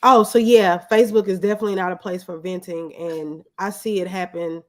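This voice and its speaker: A woman's voice: fairly low pitch, fairly even intonation, a little fast, darkish tone. An American woman chatting casually and candidly as an interview guest. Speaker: guest entrepreneur.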